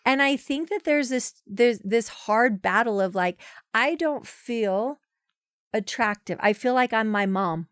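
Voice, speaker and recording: A woman's voice: a little high, animated, unhurried, fairly bright tone, clear, resonant tone, no echo, studio quality. A woman in her forties, a podcast guest, talking in a chatty, emphatic way with many restarts. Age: 40s. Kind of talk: casual conversational interview.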